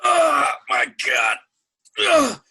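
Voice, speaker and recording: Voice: high voice, wildly swooping pitch, drawn-out words, creaky, sharp tone, shouting, a little hiss or hum, full character voice. An actor in an amateur script read playing a crewman in agony, groaning and strained. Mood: agony, panic. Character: agonized crewman convulsing in pain.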